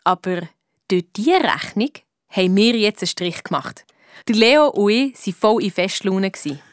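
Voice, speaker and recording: An actress with a Swiss German accent playing a clever, cheeky amateur detective who narrates a children's radio play. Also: female voice, mid-range voice, expressive, moderate pace, clear, resonant tone, no echo, clean studio sound, lightly acted. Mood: narrating, pleased. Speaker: lead detective and narrator in a children's audio drama.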